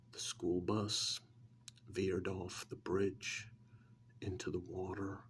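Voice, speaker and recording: A man tells a story in a soft-spoken ASMR role-play style, halting and deliberate, often slipping into a whisper close to the microphone. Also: low-pitched, a little hiss or hum. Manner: hushed, often dropping into whisper; halting, deliberate phrasing with long pauses.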